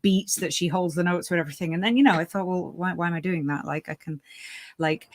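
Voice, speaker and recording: A woman's voice: fairly low pitch, quick pace. A British woman in her forties hosting a casual livestream chat, laughing often and using lots of fillers. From Britain. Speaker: livestream show host.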